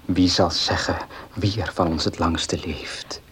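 An actor playing a devoted, grieving husband in a period radio drama, speaking slowly and earnestly in a hushed, breathy voice. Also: male voice, low-pitched, fairly even intonation, background noise. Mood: earnest, pleading. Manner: slow, hushed, earnest, emotional.

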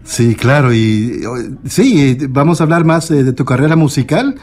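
A man's voice: medium pitch, moderate pace, darkish tone. A man hosting a Spanish-language radio show, interviewing a guest with many fillers and restarts. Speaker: radio host.

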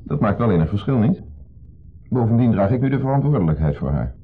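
An actor playing an insecure, jealous husband in a 1970s radio drama, mock-polite and sarcastic in a clipped way. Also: male voice, fairly low pitch, big pitch swings, fast, slightly rough. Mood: defensive, sarcastic. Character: insecure, jealous husband, needling host masking hostility. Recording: muffled 1970s radio recording, music behind.

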